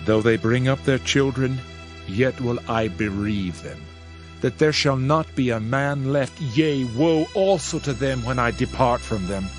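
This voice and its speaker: A man's voice: medium pitch, unhurried, lightly acted. A man playing a solemn Old Testament prophet in a dramatized Bible reading, declaiming judgment in a grave, formal manner. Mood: grave, sorrowful. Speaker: scripture narrator. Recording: music under the voice. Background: music.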